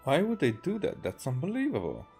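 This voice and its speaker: A man from Hungary, a native Hungarian speaker, casually reacting as he streams a game, halting and thinking aloud. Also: fairly high pitch, wildly swooping pitch, darkish tone, faint music behind.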